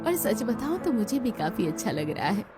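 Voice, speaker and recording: A woman's voice: mid-range voice, very animated, moderate pace, natural voice. A woman voicing an older village mother-in-law, proud, practical and kindly, in a cartoon story. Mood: practical, instructing. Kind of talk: scripted cartoon story dialogue. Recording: music behind the voice.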